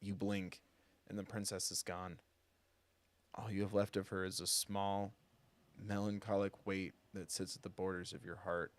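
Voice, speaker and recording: A man reading a game's narration aloud as a solemn storyteller, measured and hushed. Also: low voice, little pitch movement, close to an everyday voice.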